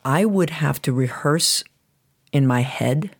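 A middle-aged woman whose first language is Chinese, thinking aloud in a casual conversation with halting restarts. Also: deep voice, gravelly, breathy edge. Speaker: client receiving a reading.

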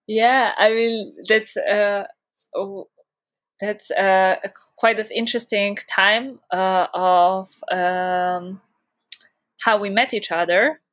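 A woman's voice: mid-range voice, fairly even intonation, slow pace, fairly bright tone. Hesitant woman in her thirties, casual chat. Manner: hesitant, many fillers and restarts, laughs along. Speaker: podcast co-host.

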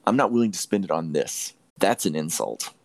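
A man's voice: deep voice, sing-song, fairly quick, creaky, dry recording. A man hosting a podcast, giving opinions in an emphatic, declarative way in casual discussion. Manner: emphatic, declarative, repeats phrases for weight. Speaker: podcast host.

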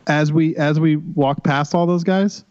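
A slightly hoarse man playing a tabletop game, stammering playfully as he declares his moves. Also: on the high side, steady tone, unhurried, darkish tone, dull audio. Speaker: player.

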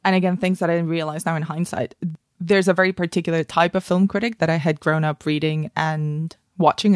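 A woman's voice: sits low, no echo. Thoughtful Spanish-raised woman, dry close sound. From Spain. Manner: thoughtful, many restarts and fillers like "kind of". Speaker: guest, film industry professional. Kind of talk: podcast interview, reflective storytelling.

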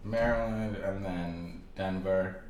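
A man's voice: low voice, fairly even intonation, takes it slowly, darkish tone, reverberant, a little hiss or hum. A young man from Tanzania, a podcast guest talking casually, full of "like" and swearing easily. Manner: casual, filler-heavy, swears and laughs easily. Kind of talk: casual interview.

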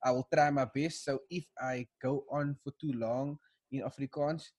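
Animated young man. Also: mid-range voice, normal speed. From South Africa. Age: young adult. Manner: animated, slangy, laughs often, restarts. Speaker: podcast co-host. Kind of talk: casual chat, reading a list aloud.

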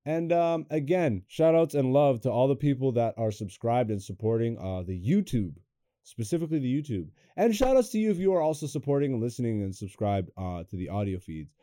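A young man hosting a comedy podcast in a studio, chatting loosely with lots of swearing, swinging between loud hype and low mumbles. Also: very animated, fairly quick, no echo. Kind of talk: casual podcast chat.

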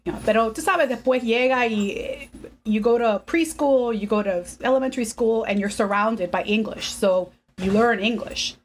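A woman's voice: on the high side, a little slow, slight background noise. Laughing bilingual woman in her fifties. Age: 50s. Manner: switches between English and Spanish, laughs often. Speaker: guest. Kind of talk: casual bilingual conversation.

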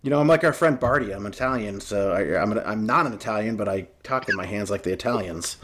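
A man with a loud, booming, low-pitched voice chats on a casual podcast. He gets animated and stumbles through restarts and fillers as he talks. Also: fast talker, noisy background. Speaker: podcast co-host, union chief steward.

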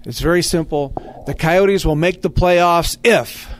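Guy with a higher voice hosting a casual podcast, affable, hands out compliments. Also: measured pace, slight background noise. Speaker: guest host, radio play-by-play announcer.

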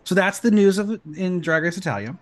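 Guy hosting a podcast, fairly high voice, chatty and excitable, lots of ums. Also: fairly quick. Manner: chatty, excitable, rattles off news with filler ums. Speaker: podcast host. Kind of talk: casual podcast chat.

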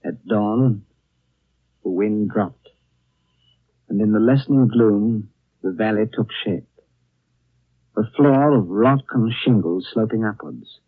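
A reflective narrator of a 1930s radio drama. His voice is low and husky, with solemn, deliberate phrasing. The sound is narrow and tinny, from an old broadcast. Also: no echo. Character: grave, reflective storyteller recalling a friend's adventure.

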